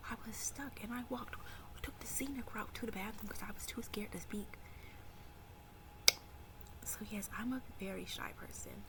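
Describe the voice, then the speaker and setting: Whispering young woman, gravelly and soft. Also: medium pitch, normal speed, pauses often. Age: early 20s. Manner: mostly whispered, soft-spoken and confiding. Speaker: vlogger. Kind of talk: personal faith story to camera.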